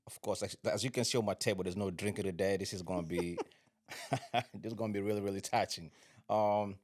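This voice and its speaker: A man hosting a podcast, welcoming his guest with upbeat enthusiasm and quick affirmations. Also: lowish voice. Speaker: podcast host.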